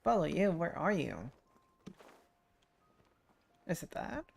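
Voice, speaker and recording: Low, creaky-voiced woman streaming a game. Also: lively intonation, brisk, slight background noise. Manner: playful self-talk, short reactions. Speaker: game streamer playing through a story quest. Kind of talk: casual livestream commentary.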